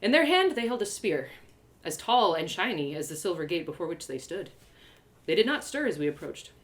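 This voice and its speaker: A woman reading her fantasy story aloud in a theatrical, expressive first-person narration. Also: lowish voice, a little fast. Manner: theatrical, animated delivery.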